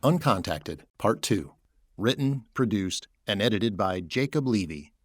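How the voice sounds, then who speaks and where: A man's voice: lowish voice, expressive, measured pace, a little hiss or hum. A man reading scripted, dramatic narration for an audio drama. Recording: loud music behind the voice.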